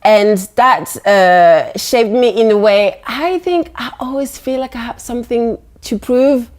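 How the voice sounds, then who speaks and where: A young woman with a French accent, a blogger being interviewed, chatting casually and telling animated stories with mock voices for the people in them. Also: on the high side, measured pace. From France. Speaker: guest, blogger.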